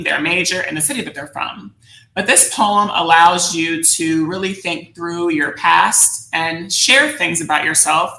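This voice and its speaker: An American woman giving an instructional presentation in a deliberate, reflective manner, often posing questions to her listeners. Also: lowish voice, pushed and bright. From United States, New Jersey suburbs. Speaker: workshop presenter, educator. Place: indoor room, virtual session. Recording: roomy echo on the voice.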